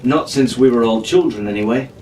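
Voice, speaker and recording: A man reads the part of a gallant young English gentleman in an adventure storybook. He is earnest, formal and proud, heard slightly distant in a reverberant room with faint hiss. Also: medium pitch, a little fast, natural voice. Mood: sombre. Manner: polite, protective, formal.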